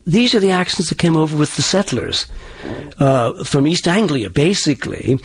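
A man with a husky voice, explaining things haltingly in an interview as a dialect coach. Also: fairly high pitch, expressive. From partly Irish background.

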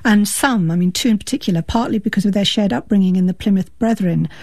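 A woman interviewer on a radio arts programme, asking probing questions at a quick clip. She has a mid-range voice, recorded close and dry. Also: medium pitch, darkish tone, no room sound.

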